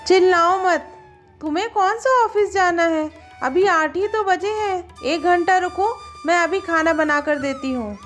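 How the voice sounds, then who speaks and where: A work-shy, complaining village wife from a cartoon moral story, played by a woman. Her voice is very high and plaintive, with music underneath. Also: measured pace, a bit bright. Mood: irritated, complaining.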